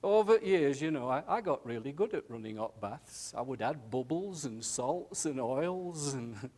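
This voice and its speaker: A man voicing an elderly widowed nursing home resident who talks slowly, haltingly and tenderly, often saying "aye". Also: medium pitch, expressive, darkish tone, lightly acted. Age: older. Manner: slow, halting, chuckling, says "aye" often.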